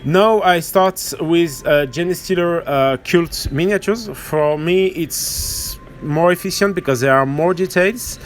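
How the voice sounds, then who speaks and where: A man with a French accent and French as his first language gives an informal hands-on painting tutorial, hesitant and halting as he searches for words. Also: on the high side, slight background noise. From France or French-speaking region. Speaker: miniature painting tutorial host.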